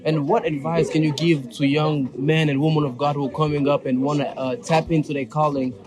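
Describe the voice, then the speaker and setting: Higher-pitched young man interviewing, people in background. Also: little pitch movement, moderate pace. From United States. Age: young adult. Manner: deferential, polite. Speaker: interviewer, church ministry host. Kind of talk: informal church interview. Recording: voices of a crowd in the background.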